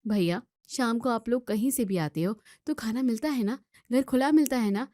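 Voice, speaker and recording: A woman's voice: fairly high pitch, moderate pace, dark tone, no room sound, studio quality, natural voice. A woman playing an outspoken young student in a family drama, scolding and arguing with emphatic questions. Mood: firm, reproachful. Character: outspoken young student daughter defending her sister-in-law. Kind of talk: Hindi family drama voice acting.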